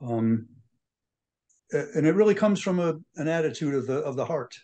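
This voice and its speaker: A man speaking reflectively, halting often with fillers. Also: a little high, expressive, mellow. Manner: halting, many "uh"s. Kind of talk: reflective discussion.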